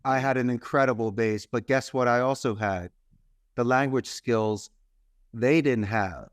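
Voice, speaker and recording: A man's voice: mid-range voice, a little slow, no echo, studio quality. Emphatic Philly-accented man in his fifties. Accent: Philadelphia. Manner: emphatic, speechy delivery. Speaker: guest. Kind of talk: interview storytelling.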